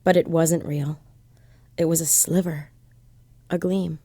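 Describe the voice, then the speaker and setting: A woman's voice: low-pitched, fairly even intonation, takes it slowly, heavy background noise. A woman playing a haunted driver in a horror audio drama, confiding her eerie memories dramatically and directly to listeners. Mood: pained, haunted. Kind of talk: first-person horror audio drama monologue.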